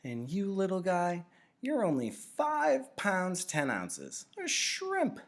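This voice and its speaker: A cheerful father and nursery carer played by a man reading aloud in a storybook. His voice is pushed high and playful, sliding toward cooing baby talk. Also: wildly swooping pitch, slow pace, heavily acted.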